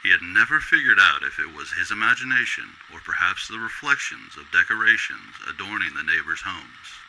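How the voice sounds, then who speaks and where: Male radio-drama narrator: deep voice, measured literary storytelling, clear noise in the recording. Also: animated, low-quality recording. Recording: loud background noise.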